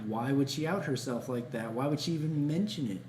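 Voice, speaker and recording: A man's voice: mid-range voice, brisk, darkish tone. A man co-hosting a podcast, chatting and commenting in an informal, laid-back, hedging way. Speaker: true crime podcast co-host. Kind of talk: casual chat, commentary. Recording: echoey room with faint background noise.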